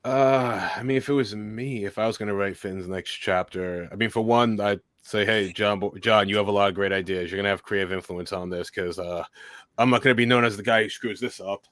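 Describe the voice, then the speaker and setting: A man speaking as a guest in an interview, answering at length in a steady monotone voice. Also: fairly low pitch, brisk, no room sound, clean studio sound. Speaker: guest filmmaker and commentator.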